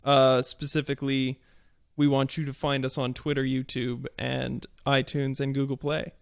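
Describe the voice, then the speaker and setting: A man hosting a podcast, relaxed and chatty as he explains segments and plugs the show. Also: mid-range voice, moderate pace, creaky, clear, resonant tone, no room sound, clean studio sound. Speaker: podcast host.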